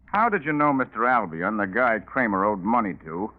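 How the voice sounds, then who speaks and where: An actor playing a shrewd, dogged police lieutenant in 1940s radio drama, questioning in a probing, sardonic, controlled way. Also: male voice, on the low side, animated, normal speed, lightly acted. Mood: confident, accusing. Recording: tinny, narrow-band 1940s radio recording.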